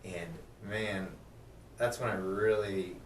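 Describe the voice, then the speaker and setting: A man's voice: deep voice, fairly even intonation, slow, roomy sound, distant mic, a little hiss or hum. A man, a podcast guest who talks in an easygoing way and laughs often. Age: 30s. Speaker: podcast guest, sales manager. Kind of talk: casual chat.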